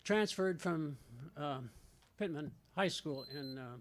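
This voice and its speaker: An older man speaks with rising indignation, rambling and restarting around fillers. His voice is a little high for a man. He stands at a podium microphone in a board meeting room. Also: takes it slowly, slight background noise. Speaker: retired professor at public comment. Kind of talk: unscripted public comment.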